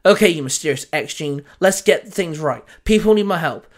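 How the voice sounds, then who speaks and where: An eager young male hero, read by a man narrating, earnest and restless. The voice sits a little high, in an easy storybook delivery. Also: normal speed, a bit bright, close to an everyday voice. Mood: determined. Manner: earnest, self-encouraging.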